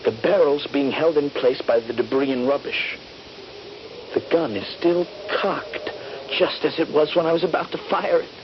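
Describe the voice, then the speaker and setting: An actor playing a trapped, wounded Union soldier, fearful and halting, in a 1970s radio drama. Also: male voice, a little high, lightly acted. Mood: mounting dread. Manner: halting, dramatic, intense delivery. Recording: noisy, dull 1970s radio drama recording.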